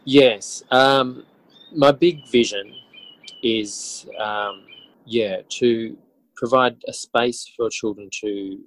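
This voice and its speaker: A man with an Australian accent answering interview questions conversationally, reflective and often halting to rephrase as he tells his story. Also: medium pitch, steady tone, rough recording. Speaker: interview guest, author and teacher.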